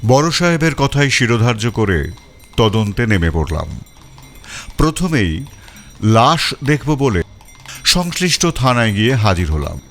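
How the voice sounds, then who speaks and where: An actor playing a composed police detective, narrating his case in measured, expressive audio-story style. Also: mid-range voice. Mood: composed, inquisitive. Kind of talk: scripted first-person detective story narration.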